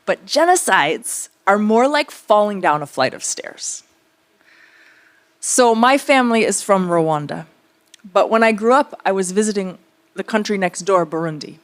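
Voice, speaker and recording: A woman speaking conversationally as an artist at a public talk, telling stories in a reflective, searching way, pausing and circling back. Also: mid-range voice, animated. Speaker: theater artist speaking on a panel.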